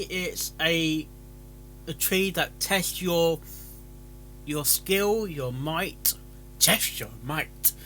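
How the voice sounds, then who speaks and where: A man's voice: on the high side, sharp tone, poor audio. A man giving rambling, casual commentary as a stream host, stammering and often repeating words as he goes. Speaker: host of a fan fiction reading stream.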